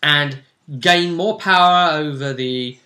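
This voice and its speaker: A man's voice: on the high side, slow pace, bright, forceful tone. A man casually recapping a role-play game as the session's host.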